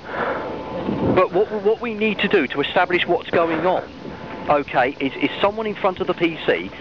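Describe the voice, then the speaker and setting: Support guy on a phone helpline, bit high pitched, stumbles n repeats himself, reassuring, muffled noisy recording. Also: normal speed. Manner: stammering, repetitive, earnestly reassuring. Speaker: customer support agent. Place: open-plan office, on the phone.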